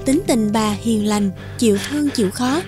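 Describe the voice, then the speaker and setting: Woman telling a fairy tale, kinda high voice, kids music playing behind her. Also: moderate pace. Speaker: narrator. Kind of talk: scripted fairy tale narration.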